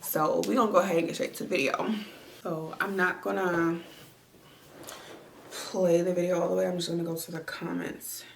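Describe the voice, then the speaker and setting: A young woman with a stuffy, congested voice and a slightly low pitch talks casually from her home. She rambles with lots of fillers while responding to viewer comments. The recording sounds close, like a phone or camera near her. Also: flat delivery, normal speed, vocal fry, slight background noise. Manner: rambling, chatty, with many fillers. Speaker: vlogger. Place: at home, lying down.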